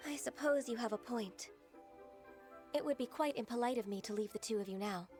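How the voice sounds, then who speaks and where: A woman voicing a gentle, earnest half-immortal maiden in an anime video game, speaking in a soft, polite, formal and hesitant way. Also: on the high side, brisk, faint music behind, close to an everyday voice. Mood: wistful, polite.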